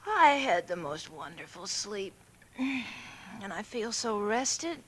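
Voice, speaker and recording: An actress playing an anxious, tender young wife in an old-time radio drama. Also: female voice, medium pitch, sing-song, slow pace, fairly bright tone, husky, heavily acted, low-quality recording. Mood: drowsy. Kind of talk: 1940s radio drama acting.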